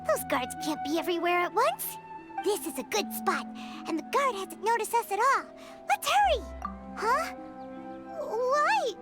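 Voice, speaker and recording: Very high, squeaky fairy companion. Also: female voice, very animated, measured pace, exaggerated voice. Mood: scheming, then anxious, pleading. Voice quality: squeaky, childlike. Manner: refers to self in third person, emotional. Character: small, excitable, childlike floating fairy companion, female. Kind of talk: animated video game voice acting. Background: background music.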